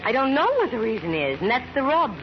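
A woman's voice: a little high, sing-song, quick pace. An actress playing a sassy, wisecracking female private eye in a 1950s radio detective drama, with a wry, slangy delivery. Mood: worried, insistent. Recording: muffled, very hissy old radio recording.

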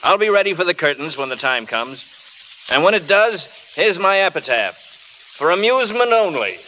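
A hard-drinking matinee idol from a 1930s radio play. He is flippant and theatrical, swinging widely in pitch with wry charm. A clear hiss and crackle of old broadcast sits over the voice. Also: sing-song, normal speed, sharp tone, full character voice. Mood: bittersweet bravado. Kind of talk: 1930s radio drama acting.

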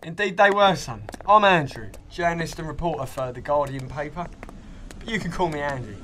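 An actor playing a slangy, defiant British newspaper reporter in wartime London, in a radio drama. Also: male voice, a little high, expressive, a little fast, noisy background, natural voice. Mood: chummy. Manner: chummy, slangy. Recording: music behind the voice.

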